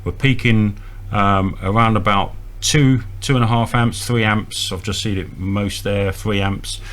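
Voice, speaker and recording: A man's voice: lowish voice, fairly even intonation, measured pace, a bit bright. A man presenting an informal, hands-on equipment demonstration, explaining step by step with a hesitant delivery. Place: indoors at a small equipment bench. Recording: audible electrical hum and noise.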